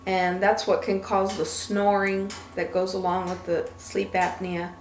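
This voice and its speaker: A woman gives an informal explanation at an unhurried pace. Her voice sits at a middle pitch. The room echoes around her, with faint noise underneath. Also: level tone. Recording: roomy echo, faint background noise.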